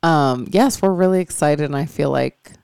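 A woman co-hosting a podcast, candid and earnest, a little raspy and stuffy from allergies. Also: deep voice, normal speed, a little mellow, no echo, clean studio sound. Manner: candid, earnest, rambling with fillers. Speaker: podcast co-host. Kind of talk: casual chat.

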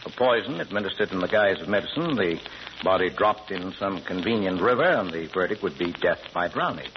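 A suave, scholarly killer doctor from classic radio drama. The voice is low and lively in pitch, always courteous and precise. The vintage recording is muffled and noisy. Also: male voice, a little fast. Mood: suave, sinister. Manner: polite, precise, suavely understated. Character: urbane male doctor, coolly murderous, genteel, scholarly criminal. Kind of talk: 1930s-40s radio drama acting.

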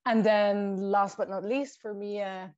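A woman's voice: medium pitch, fairly even intonation, a little slow, darkish tone, dry recording. A woman from Switzerland whose first language is Swiss German, a shark scientist answering interview questions thoughtfully, listing points with small restarts. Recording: dull lo-fi dry sound.

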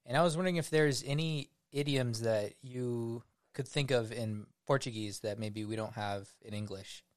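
A man co-hosting a podcast, chatting casually with a guest. He ribs his co-host and rambles a little, with restarts, at an ordinary pitch. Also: normal speed. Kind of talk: casual chat.

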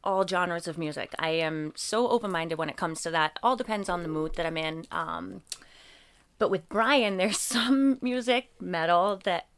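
A clear, smooth-spoken woman with an American accent faintly touched by English, the kind of guest heard chatting on a relaxed creative podcast. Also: on the low side, moderate pace. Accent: mostly American with occasional English traces. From England. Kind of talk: casual conversational interview.

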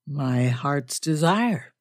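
A woman's voice: fairly low pitch, expressive, unhurried, darkish tone, dry recording, studio quality. A woman voicing a confident, gallant male army major in an audiobook, with wry, clipped replies. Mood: wry, eager.

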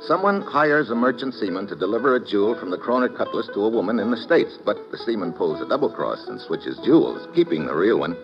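A man's voice: on the low side, big pitch swings, a little fast, few pauses. A man playing a tough, wisecracking American agent who also narrates the story in first person, in breezy hard-boiled radio drama style. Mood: needling. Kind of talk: 1950s radio drama acting. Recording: dull vintage radio broadcast with music.

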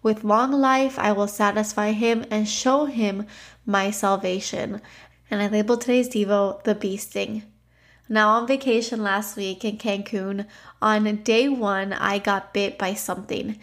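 A woman's voice: mid-range voice, steady tone, unhurried. A woman with a stuffy nose hosting a devotional podcast, reading scripture and telling a story in a conversational way.

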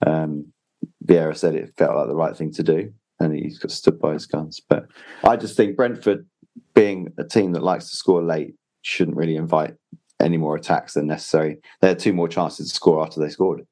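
A man co-hosting a football podcast, explaining his points in a measured way. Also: very deep voice, fairly even intonation, dark tone. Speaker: podcast co-host. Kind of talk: casual football analysis.

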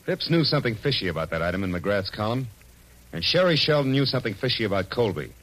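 An American actor playing a tough, sardonic private detective in an old radio noir drama, with curt, slangy delivery. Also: male voice, lowish voice, very animated, quick pace, fairly bright tone, poor audio. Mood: brisk, urgent. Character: hard-boiled, bullying male private detective, sardonic and tough. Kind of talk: 1940s-1950s radio noir acting and first-person narration.

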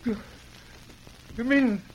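A high, husky male voice in an old radio western: a sniveling crooked farmer, frantic and stammering as he begs. The sound is dull and lo-fi. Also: slow, exaggerated voice. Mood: desperate pleading. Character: cowardly, sniveling crooked farmer pleading desperately for his life.

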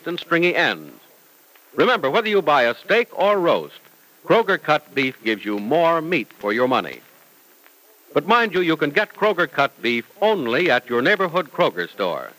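A man's voice: on the high side, a bit bright, poor audio. A man announcing an old-time radio serial and its sponsor in a polished, emphatic, dramatic style.

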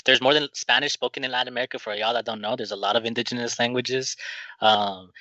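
A man from Colombia, a podcast guest, talking in a casual, earnest and measured way. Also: mid-range voice, steady tone, bright, forceful tone, no echo. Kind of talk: casual chat. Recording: dull dry lo-fi sound.

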